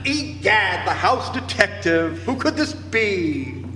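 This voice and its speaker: A man's voice: sits high, very animated, slow pace, music in the background, full character voice. A man playing a pompous, boozy old-time radio comedian host, with florid, mock-gallant talk. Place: stage in a hall. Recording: echoey hall, clear background noise.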